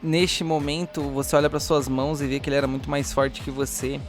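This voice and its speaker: A man's voice: fairly high pitch, flat delivery, brisk. A Brazilian man narrating a horror tabletop game as game master, moving between dramatic description and casual slangy asides. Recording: music playing behind the voice. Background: music.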